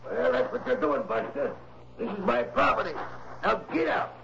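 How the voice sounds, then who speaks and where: Gravelly, jittery old rancher. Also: male voice, sits high, sing-song, normal speed, a bit bright, lo-fi sound, full character voice. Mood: hostile. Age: older. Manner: folksy ungrammatical speech, curt and wary. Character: weather-beaten, husky old rancher, suspicious, gruff and jittery. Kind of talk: 1960s radio drama acting. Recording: noisy, band-limited old radio recording.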